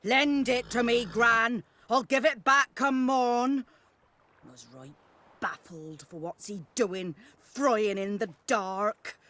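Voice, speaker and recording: Indignant folksy old peasant woman, rural British, highish bright voice, unhurried rambling game dialogue. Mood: rambling, animated. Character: chatty, indignant old peasant granny in fantasy village. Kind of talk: video game voice acting, storytelling.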